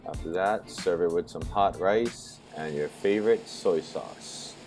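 A man hosting a short cooking segment, giving clear, friendly recipe instructions in voice-over. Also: deep voice, a little slow, darkish tone, faint music behind, low-quality recording.

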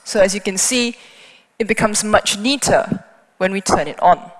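A woman's voice: medium pitch, moderate pace. A woman whose first language is Chinese, giving a technical talk on stage at a conference with a headset mic, explaining things step by step with small asides. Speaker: conference speaker. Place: large conference hall on stage. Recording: hall reverb.

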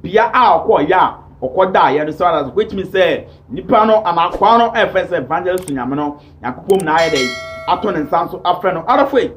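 A Ghanaian man with a high voice hosts an online gossip show. He speaks emphatically to camera and leans on repeated set phrases. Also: expressive, brisk, few pauses, slight background noise. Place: small indoor home studio.

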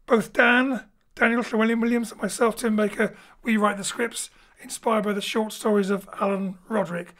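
A man's voice: high voice, fairly bright tone. A man, a director and host, giving an informal spoken introduction to an audio show. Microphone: home studio microphone over internet link.

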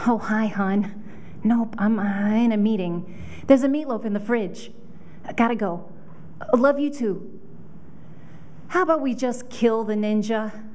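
Here is a woman acting as a harried working mom who is a casually sinister deputy mayor. Her voice sits a little high with a mellow tone. She delivers the comic audition monologue briskly and deadpan, as matter-of-fact about crime as about dinner. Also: close to an everyday voice. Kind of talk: audition comedy monologue read. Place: hotel conference room, at a standing mic.

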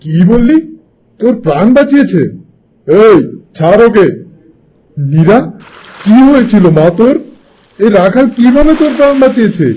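A man's voice: sits high, big pitch swings, moderate pace, pauses often, mellow, poor audio. A man voicing a stern, menacing village landlord and protective father in a fairy-tale cartoon. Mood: outraged, then commanding. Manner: imperious, menacing.

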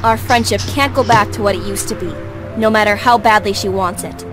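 A woman plays a reserved schoolgirl from an anime game. She is proud and guarded, keeping her replies terse at a middle pitch. Music is clearly present behind her. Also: fairly quick, a bit bright, lightly acted.

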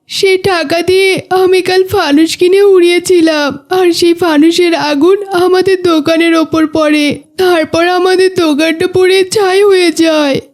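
A very high, whiny little schoolboy for a children's cartoon. He sounds petulant and childlike, in a moral-tale dubbing style. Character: defiant, fun-loving schoolboy, later remorseful.

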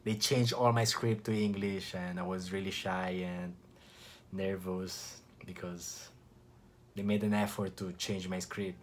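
Relaxed young man with an Italian accent. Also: deep voice, slight background noise. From Italy. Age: young adult. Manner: relaxed, chatty, with frequent restarts and short pauses. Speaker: vlogger. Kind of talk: casual talk to camera.

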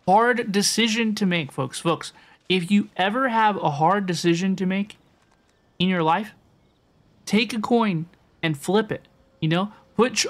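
A woman livestreaming a video game, chatting casually to viewers and often repeating her phrases. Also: medium pitch. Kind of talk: casual livestream chat over gameplay. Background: game music.

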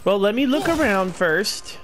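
A man's voice: high-pitched, sing-song, measured pace, over music. A man giving rambling, casual commentary while playing a game. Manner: rambling, talks to himself. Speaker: gameplay streamer.

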